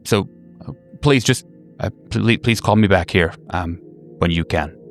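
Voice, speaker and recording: Anxious male caller, played by a man, stammering and hesitant, creaky voice, tabletop roleplay. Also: low voice, measured pace, faint music behind. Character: stammering, anxious man leaving a concerned phone message.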